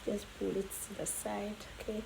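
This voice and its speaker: A woman with a hoarse voice from days of coughing, walking viewers through a headwrap tutorial in a casual, chatty way and often checking in with "okay?". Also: mid-range voice, little pitch movement, moderate pace, noisy background. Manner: chatty, keeps adding "okay?". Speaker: tutorial host.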